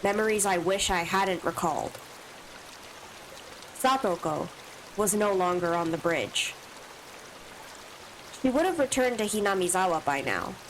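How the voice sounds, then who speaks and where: A woman's voice: medium pitch, a little hiss or hum, low-quality recording. A woman streamer with allergy sniffles, reading a visual novel's first-person text aloud with asides of her own. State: stuffy from allergies.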